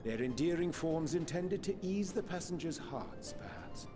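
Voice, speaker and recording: A man's voice: on the high side, animated, moderate pace, dark tone, close to an everyday voice. An actor voicing a courtly, musing man with formal, archaic speech in a video game, with music behind. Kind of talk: video game character acting.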